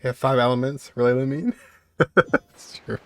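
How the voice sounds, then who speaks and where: A man's voice: mid-range voice, normal speed, fairly bright tone. A man giving casual, slangy commentary as a gaming streamer, reacting freely as he plays.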